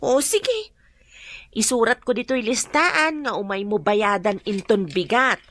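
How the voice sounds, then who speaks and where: Radio drama role: brisk, pressing daughter, Ilocano accent, high female voice, close dry recording. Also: animated, a bit bright, dry recording. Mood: insistent. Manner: pressing, questioning. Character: brisk, insistent daughter collecting canteen debts.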